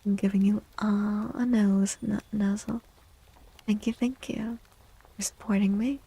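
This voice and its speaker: A woman speaks softly and slowly in a mellow, husky voice with plenty of fry. She drifts in and out of whispers as she chats gently in an ASMR livestream style. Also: mid-range voice, steady tone. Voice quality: soft, husky, breathy. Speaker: live streamer and tarot reader. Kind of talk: soft-spoken ASMR livestream chat.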